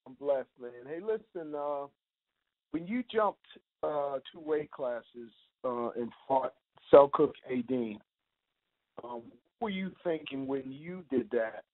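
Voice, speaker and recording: A male reporter asking informal press questions in a halting way, rephrasing them as he goes. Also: a little high, lively intonation. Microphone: telephone. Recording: narrow-band conference phone line.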